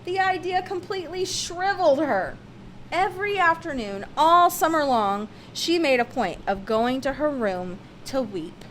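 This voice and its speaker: A woman reading a children's picture book aloud, with expressive, emphatic phrasing. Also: sits high, measured pace, a little hiss or hum. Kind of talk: read-aloud children's storybook narration.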